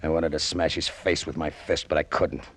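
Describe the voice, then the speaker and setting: A man's voice: very deep voice, flat delivery, normal speed, slight background noise. An American man playing a haunted, brooding cargo pilot and narrating his story in old-time radio drama style. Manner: clipped, brooding, hard-boiled. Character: tense, haunted hard-boiled cargo pilot, greedy and brooding. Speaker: actor narrating in character. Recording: vintage radio drama with music underneath.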